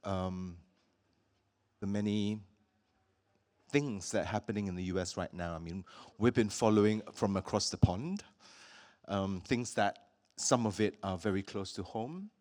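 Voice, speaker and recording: A man from Singapore hosting a public talk with an artist, speaking thoughtfully with many pauses and fillers. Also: fairly low pitch, a little mellow. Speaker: host.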